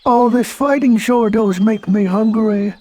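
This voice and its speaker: High, husky, innocent bear. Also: male voice, a little slow, dark tone. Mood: hungry, musing. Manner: mild, innocent, musing. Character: gentle, simple, hungry male teddy bear. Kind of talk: children's storybook read-aloud.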